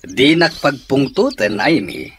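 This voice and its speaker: Radio drama father, middle-aged, gruff, irritable, lively intonation, Filipino accent, chirping birds behind. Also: medium pitch, unhurried, a little hiss or hum. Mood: stern, annoyed. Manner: gruff, scolding. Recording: birdsong ambience under speech.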